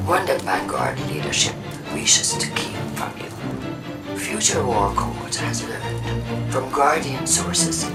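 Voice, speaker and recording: A zealous game faction leader addressing citizens. She is oratorical and deliberate, heard from a distance with echo, and loud music swells beneath her speech. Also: a bass voice, heavily acted. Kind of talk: game cutscene broadcast speech. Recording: echoey distant broadcast under loud music.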